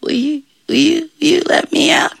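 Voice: high voice, fairly even intonation, moderate pace, gravelly, fairly bright tone, dry recording, clean studio sound, pitched above the performer's own voice, heavily acted. A storyteller voicing a proud, blustering devil father, commanding and emphatic, in a folktale. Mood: strained, pleading.

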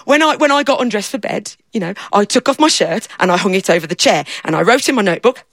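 A man's voice: sits high, expressive, fast talker, vocal fry, fairly bright tone, no echo, heavily acted. Woman playing a daft, earnest man who lost himself. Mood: bewildered. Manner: earnest, childlike, wide-eyed.

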